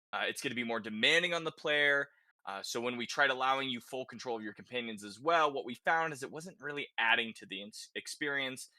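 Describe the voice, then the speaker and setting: A man's voice: mid-range voice, bright, forceful tone, no room sound. A man co-hosting a casual gaming podcast, talking conversationally and often checking in with "right?" as he explains. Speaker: podcast host.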